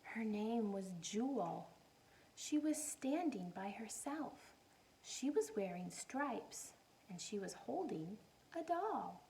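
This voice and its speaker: A woman teacher reading a picture book aloud to young children, animated and encouraging, often putting questions to her listeners. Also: fairly high pitch, gravelly, slight background noise, rough recording. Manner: encouraging, animated, asks questions and pauses for answers.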